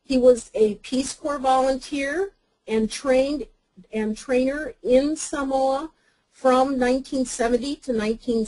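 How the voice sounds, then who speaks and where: A woman moderating a webinar, reading speaker introductions in a careful, measured way. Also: a little high, warm tone, husky, low-quality recording.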